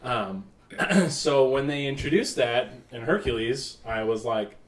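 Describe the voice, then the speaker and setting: A man's voice: mid-range voice, measured pace, background noise. Laughing man in a roomy podcast room. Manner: animated, laughs often, many fillers. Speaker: podcast co-host. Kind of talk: casual chat. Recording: roomy, distant mic.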